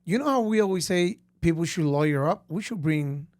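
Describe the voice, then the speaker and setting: A man with a Costa Rican Spanish accent, co-hosting a casual podcast chat in a relaxed way. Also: on the high side, a little fast, dry recording, clean studio sound. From Costa Rica. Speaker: podcast co-host.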